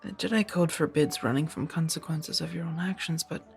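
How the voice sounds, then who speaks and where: A woman acting in a soft-spoken ASMR roleplay as a kind, conflicted former warrior monk turned farmer, hesitant and earnest. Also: low voice, fairly even intonation, fairly quick, creaky, slightly rough, soft background music, full character voice.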